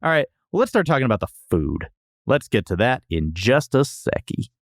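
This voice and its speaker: A man's voice: medium pitch, sing-song, moderate pace, gravelly. A man co-hosting a casual comedic restaurant review, talking emphatically with slangy exaggeration. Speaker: podcast co-host reviewing restaurants.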